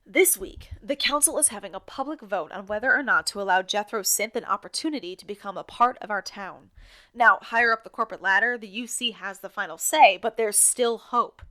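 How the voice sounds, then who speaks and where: A woman's voice: mid-range voice, brisk, bright, forceful tone, natural voice. An actress playing an outspoken, indignant woman who co-hosts a town radio show in an audio drama, speaking with exasperated, sarcastic bite. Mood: announcing, pointed. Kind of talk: audio drama acting as conversational radio banter.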